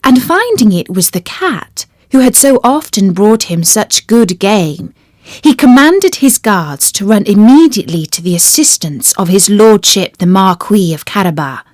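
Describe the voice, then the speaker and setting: Slow, expressive woman storyteller. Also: mid-range voice, no room sound, studio quality. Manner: expressive, deliberate phrasing. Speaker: storyteller reading a fairy tale. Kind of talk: scripted children's storybook narration.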